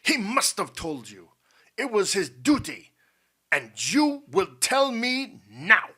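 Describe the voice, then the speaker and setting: A man voicing an imperious, menacing general who interrogates in formal, commanding speech and is quick to anger, in an audiobook story. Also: a little high, sing-song, sharp tone, pitched above the performer's own voice, exaggerated voice. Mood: angry, shouting.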